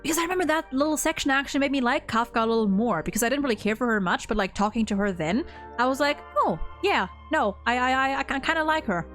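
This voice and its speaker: A woman's voice: sits high, expressive, fast talker, a bit bright, soft background music. A woman in casual gaming fan chat, co-hosting with effusive delivery and frequent swearing. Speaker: co-host.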